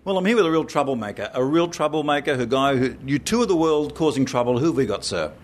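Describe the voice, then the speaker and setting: A man's voice: medium pitch, lively intonation, fast, slight background noise. An Australian man interviewing people casually in a jokey, colloquial, teasing way. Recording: busy crowd chatter behind. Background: crowd chatter.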